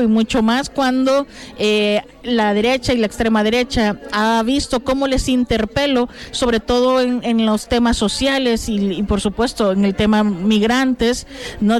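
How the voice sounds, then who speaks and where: A woman's voice: fairly high pitch, fairly even intonation, normal speed. A middle-aged woman from El Salvador, a city councillor, answering interview questions in an earnest way with frequent fillers and restarts.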